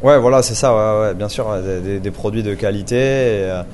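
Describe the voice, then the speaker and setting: Young chef, low hesitant voice. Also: male voice, fairly quick, a little mellow, slight background noise. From Paris. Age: 20s. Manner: hesitant, many fillers and restarts. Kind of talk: interview answers.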